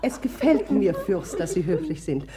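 An actress in a 1950s German radio play, playing an imperious, blunt middle-aged general's wife with a commanding, capricious manner. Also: female voice, fairly low pitch, expressive, normal speed, noisy background, close to an everyday voice. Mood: imperious, appraising.

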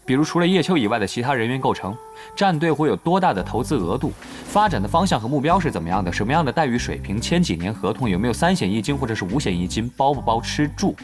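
A man's voice: mid-range voice, very animated, fast, soft background music, close to an everyday voice. A young man acting in a Mandarin audio drama as a cautious, nitpicking gamer who talks in wordy, sardonic questions. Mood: wary, insistent.

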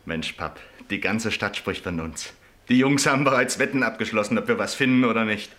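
A man's voice: fairly low pitch, brisk, fairly bright tone, close to an everyday voice. Man playing an eager, breezy young son. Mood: breezy, boastful. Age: young adult. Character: excitable, cocky young son, full of enthusiasm. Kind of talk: German radio drama acting.

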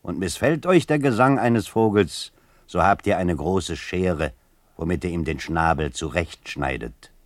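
An actor voicing a sardonic male poet who addresses the powerful in an ironic, measured literary recitation. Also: sits low, lively intonation, dry recording, studio quality. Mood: biting irony.

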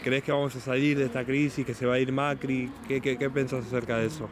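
A man's voice: medium pitch, flat delivery, quick pace. A man with a Rioplatense Argentine accent asking questions in a casual, conversational interview. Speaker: radio interviewer. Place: busy brewery bar hosting an event. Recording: crowded venue with urban background noise. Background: crowd and street noise.

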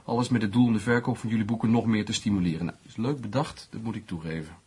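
An actor playing a skeptical, teasing newspaper journalist who reasons things out in a sardonic way, in a Dutch radio play. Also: male voice, lowish voice, quick pace, a little mellow, breathy edge. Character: skeptical, teasing male newspaper journalist, analytical amateur sleuth.

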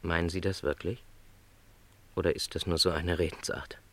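A man playing a lonely, middle-aged travelling salesman in a radio play, polite, gentle and resigned, reflecting hesitantly. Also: low voice, steady tone, normal speed. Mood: polite, concerned. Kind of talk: German radio play dialogue.

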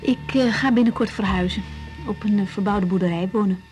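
A woman playing an earnest, caring young doctor in a radio drama, speaking in a hesitant, halting way. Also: medium pitch, darkish tone, soft background music, natural voice. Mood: caring, firm. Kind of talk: 1980s Dutch radio drama acting. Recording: noisy 1980s radio recording.

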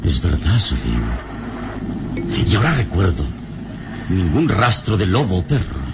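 An actor playing a wise, calm, heroic adventurer in a solemn, measured, theatrical radio-serial style. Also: male voice, low-pitched, vocal fry, background noise, exaggerated voice. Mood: grave, pondering. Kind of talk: old radio serial acting.

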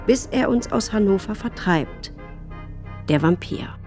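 An actress playing an educated, critical journalist and wife, narrating her life in a measured, dry, chronicle-like way for a radio drama. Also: mid-range voice, expressive, darkish tone, faint music behind, natural voice. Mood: composed, wry. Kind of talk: scripted first-person radio drama narration.

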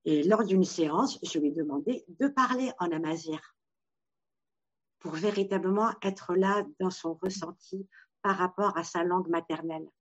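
A woman from Morocco, a therapist, answering interview questions in a thoughtful, halting way with many restarts. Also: on the low side. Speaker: Gestalt therapist. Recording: dull lo-fi sound.

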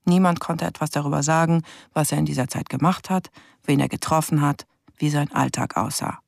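Creaky-voiced brooding female investigator. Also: low-pitched, fairly even intonation, a little fast, no room sound, studio quality. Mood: frustrated, brooding. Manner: reflective, questioning, bitter. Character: brooding young investigator troubled by a first murder case. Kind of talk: naturalistic radio drama monologue.

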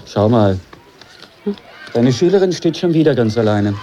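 A man's voice: mid-range voice, animated, normal speed, warm tone, highs cut off, a little hiss or hum, natural voice. A man playing a concerned, persistent school colleague in naturalistic radio play dialogue.

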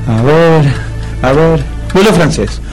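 An actor playing a well-meaning but exasperated friend in a comedic radio play, protesting in slangy speech with a Buenos Aires accent. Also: male voice, on the high side, expressive, slow, darkish tone, soft background music, rough recording. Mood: excited. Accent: Buenos Aires Argentine. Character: exasperated, well-meaning male buddy, practical and easily offended. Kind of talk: comedic radio drama acting.

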